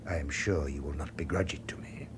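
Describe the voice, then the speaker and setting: An actor in a radio drama playing a suave, gloating master criminal who speaks with ornate, menacing courtesy. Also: male voice, a bass voice, steady tone, a little fast. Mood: silky, courteous. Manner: elaborately courteous, ornate formal phrasing. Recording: faintly noisy radio drama recording.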